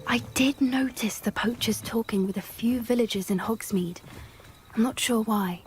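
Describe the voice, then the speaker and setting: An actress playing a cautious, observant young witch in a video game, earnest and measured. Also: fairly high pitch, soft background music, natural voice. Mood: puzzled. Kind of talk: video game cinematic acting.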